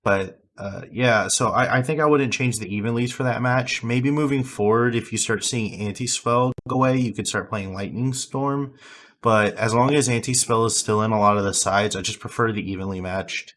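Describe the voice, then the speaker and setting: A hoarse young man, having lost his voice, casually reviewing his card game deck with rambling fillers and restarts. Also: fairly low pitch, normal speed, a bit bright.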